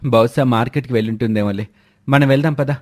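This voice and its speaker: A man voicing a calm, good-natured young army man and husband in a spoken moral story. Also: mid-range voice, fast, natural voice.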